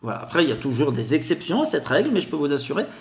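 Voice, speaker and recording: A man with a Grenoble accent, a guest explaining telemarketing in a podcast interview, with a lively sing-song way of talking. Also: on the high side, racing, echoey room. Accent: Grenoble French.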